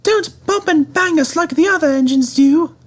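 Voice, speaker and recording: Man putting on prim, shrill falsetto railway coaches. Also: moderate pace. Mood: pleading. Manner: falsetto, polite and pleading.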